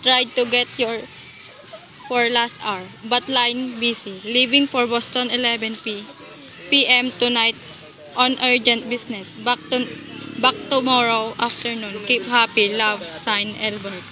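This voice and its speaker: Woman as bright, formal telegram clerk. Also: a little high, little pitch movement, measured pace, slight background noise, low-quality recording. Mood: formal, detached. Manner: formal, recited, businesslike. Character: brisk, formal female telegram clerk reading a message. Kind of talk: radio play acting.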